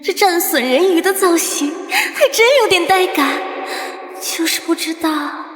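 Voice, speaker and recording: A woman's voice: extremely high pitch, animated, unhurried, fairly bright tone, heavily acted. A woman playing a bold, brash and protective princess with a teasing manner in a fantasy drama. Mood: cheeky, smitten. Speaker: voice actor in a fantasy short drama.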